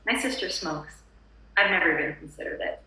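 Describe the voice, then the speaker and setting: A woman's voice: lowish voice, animated, fairly quick, sharp tone. A woman playing an anxious, polite suburban housewife in a screenplay table read, prim and chirpy. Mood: polite, wistful. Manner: prim, apologetic, fussy. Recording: echoey room.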